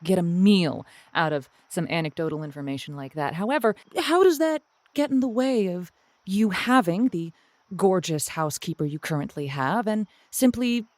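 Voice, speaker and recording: A woman's voice: on the low side, expressive, moderate pace, darkish tone, no room sound, close to an everyday voice. A woman playing a frank, sympathetic advice host on an improvised comedy call-in show. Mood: frank, counselling.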